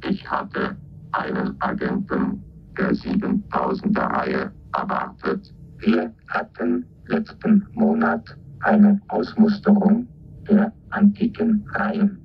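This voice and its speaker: Military robot, stiff clipped delivery, pitch leaping wildly, dull noisy radio drama recording. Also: male voice, very animated, takes it slowly, vocal fry, heavily acted. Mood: mechanical, formal. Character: two military negotiation robots exchanging coded messages, formal, mechanical.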